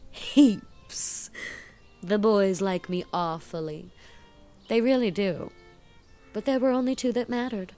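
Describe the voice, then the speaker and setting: A woman's voice: medium pitch, takes it slowly, pauses often, warm tone, faint music behind, lightly acted. A woman voicing a chattering, fun-loving girl of eighteen in a dramatized audiobook, gushing and playfully dramatic. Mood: chatty. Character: giddy, flighty 18-year-old girl, fond of fun.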